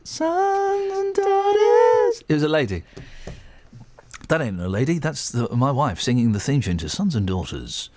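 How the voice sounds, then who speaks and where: A man's voice: on the high side, wildly swooping pitch, unhurried, darkish tone. A man hosting a radio show, talking bluntly and casually with frequent restarts. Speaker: radio presenter.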